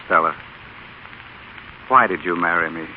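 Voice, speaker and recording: A proper, reproving young husband with a lowish voice and formal, earnest phrasing. It has the narrow, phone-like sound of a vintage radio play. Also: male voice. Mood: earnest, pleading. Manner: measured, formal, pleading. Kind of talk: 1930s radio drama acting. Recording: muffled, noisy old radio broadcast.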